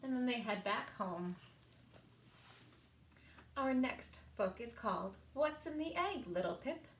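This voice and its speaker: A woman reading picture books aloud for a children's story time, expressive and sing-song, with emphasized words. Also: fairly high pitch, takes it slowly, a little hiss or hum. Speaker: library story time reader.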